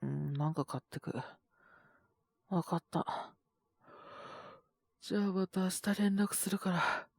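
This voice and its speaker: A woman's voice: fairly low pitch, vocal fry, no room sound. A woman playing a weary, curt young male teacher. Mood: reluctantly caring. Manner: weary, brusque, sighing, drawn-out muttering. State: feverish, coughing. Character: tired, sick, curt young male teacher, secretly caring. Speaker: voice actor. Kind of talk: Japanese situation-voice audio drama.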